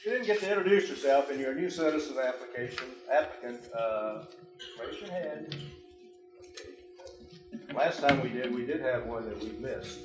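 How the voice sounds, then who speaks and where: A woman's voice: extremely low pitch, animated, normal speed, long pauses, distant mic. A woman official addressing a naturalization ceremony in a city hall chamber, giving plain instructions to the room. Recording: echoey hall with faint music.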